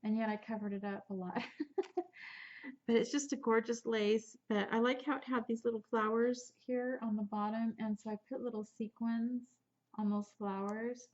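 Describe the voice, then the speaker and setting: A woman's voice: fairly high pitch, fairly even intonation, moderate pace, a little mellow, dull audio. A woman casually showing off a handmade craft album, chatty and rambling, a little sniffly from allergies. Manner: chatty, rambling, with small laughs and restarts. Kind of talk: casual craft show-and-tell.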